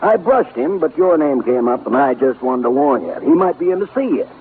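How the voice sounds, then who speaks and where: Actor as glib, shady radio private eye, animated. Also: male voice, medium pitch, brisk, natural voice. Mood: breezy, pushy. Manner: breezy, falsely chummy, slangy. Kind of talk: 1950s radio acting. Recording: tinny old radio recording, faint noise.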